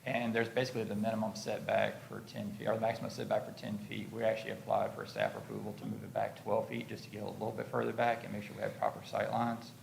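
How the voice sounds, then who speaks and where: A man's voice: on the low side, little pitch movement, quick pace, vocal fry, few pauses. An American man, an architect, explaining a project and answering questions at a public hearing. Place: public meeting room. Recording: roomy echo, faint background noise.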